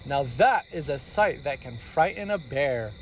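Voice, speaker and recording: A man's voice: on the high side, expressive, unhurried, a little hiss or hum, poor audio. A man voicing a boastful but secretly cowardly father bear in a storybook, with theatrical bluster. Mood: panicked.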